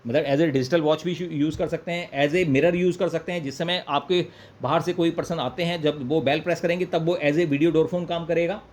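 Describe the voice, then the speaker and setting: Higher-pitched Indian man demonstrating a product. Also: steady tone, normal speed. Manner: explanatory, repeats points with Hindi-English code-switching. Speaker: product reviewer and presenter. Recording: clear background noise, room ambience.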